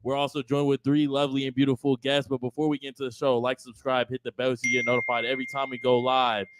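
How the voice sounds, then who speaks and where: A man's voice: mid-range voice, monotone, fast talker, background noise. A man hosting a live podcast, hyping the crowd with crude, teasing banter. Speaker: podcast host. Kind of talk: live show intro and casual chat.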